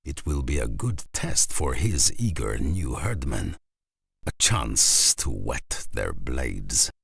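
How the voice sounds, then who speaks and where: An actor playing a grave, weathered Norse saga storyteller in a cinematic video game, speaking deliberately with a husky, gravelly voice. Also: male voice, rumbling low, no room sound, studio quality, full character voice. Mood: solemn, ominous. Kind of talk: scripted cinematic game narration.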